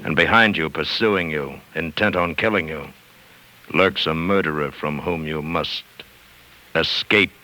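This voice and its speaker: A man's voice: very deep voice, unhurried. A man narrating a suspense teaser in a dramatic, ominous 1940s radio style. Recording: noisy 1940s radio recording.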